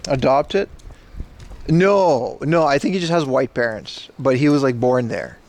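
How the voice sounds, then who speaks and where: A man's voice: medium pitch, expressive, a little slow, darkish tone. A man chatting casually on a walking livestream, reading chat aloud and joking with mock outrage. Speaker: IRL streamer. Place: outdoors on city streets.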